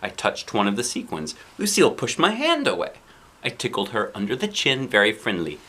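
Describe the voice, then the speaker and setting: A man reading a children's book aloud as a dad, with playful, expressive, theatrical delivery. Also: on the low side, fairly quick. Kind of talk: animated children's storybook narration.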